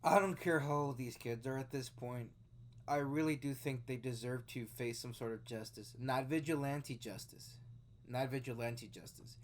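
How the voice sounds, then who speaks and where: A man's voice: medium pitch, slight background noise. A man in his late twenties talking through a news story in casual, rambling commentary, full of fillers and restarts. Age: 20s. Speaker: video host reacting to news.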